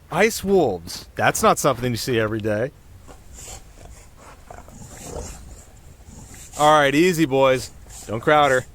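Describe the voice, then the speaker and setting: A man's voice: on the high side, fairly bright tone, slight background noise. A man playing a cocky, playful winter spirit in an ASMR roleplay, speaking straight to the listener in flippant, sarcastic, casual banter. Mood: showing off.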